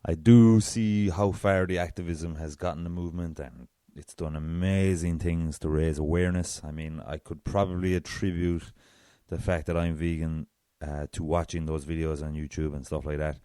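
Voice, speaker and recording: An Irish man with a very deep voice hosting a solo podcast, chatty and self-deprecating. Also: fairly even intonation, moderate pace, warm tone, no room sound, studio quality. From south of Ireland. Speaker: podcast host.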